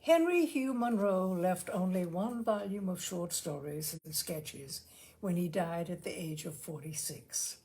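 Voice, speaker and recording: Creaky-voiced woman reading stories aloud. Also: lowish voice, unhurried, a little mellow. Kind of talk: storytelling, read-aloud narration.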